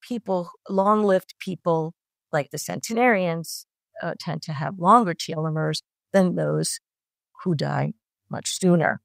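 Middle-aged woman scientist, halting delivery, lower voice, dry recording. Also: creaky, no echo. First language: Italian. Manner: hesitant, many fillers and restarts. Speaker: scientist guest. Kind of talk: explanatory interview answers.

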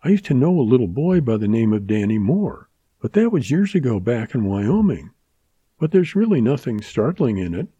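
A man's voice: mid-range voice, big pitch swings, moderate pace, mellow, husky, no echo, dull audio. A man voicing an earnest, tearful young ranch woman in a read western story. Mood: gentle. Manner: pleading, heartfelt.

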